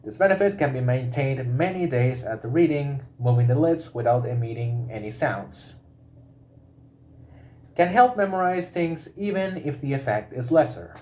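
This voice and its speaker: Man reading informational text aloud, haltingly. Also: medium pitch, expressive, a little mellow. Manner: halting delivery, often breaking off mid-word and repeating it.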